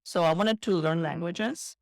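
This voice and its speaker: A woman's voice: fairly low pitch, a little slow, a little mellow, no echo, clean studio sound. A woman from Kazakhstan, a guest entrepreneur chatting loosely in an interview, full of "like" fillers. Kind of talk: casual interview chat.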